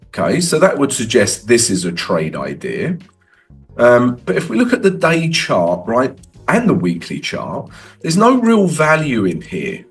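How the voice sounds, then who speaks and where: A man's voice: mid-range voice, wildly swooping pitch, a little slow, faint music behind. A man with a cold walking through trading charts in a casual, conversational way, tagging lines with "right?". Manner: conversational, frequent "right?" tags. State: has a cold. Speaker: forex trading educator.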